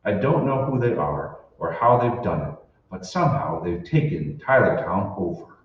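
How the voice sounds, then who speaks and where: A man's voice: on the low side, very animated, moderate pace. Man acting a determined radio hero, heavy echo. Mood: urgent, astonished. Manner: urgent, dramatic, emphatic. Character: determined, earnest man uncovering a conspiracy. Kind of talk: old-time radio drama acting. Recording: echoey hall, distant, muffled, noisy.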